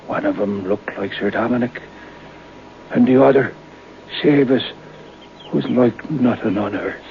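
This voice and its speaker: An actor playing an old man who tells a grim ghostly legend slowly and suspensefully in a radio horror drama, on an old radio recording. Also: medium pitch, creaky, phone-quality sound, a little hiss or hum. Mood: grave, suspenseful. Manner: slow, suspenseful storytelling.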